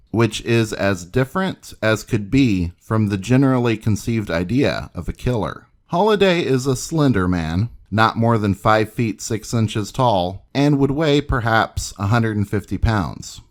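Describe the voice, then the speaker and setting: A low-voiced man narrates history from a script. His intonation rises and falls widely, and he tells it in a measured, dramatic storyteller's way.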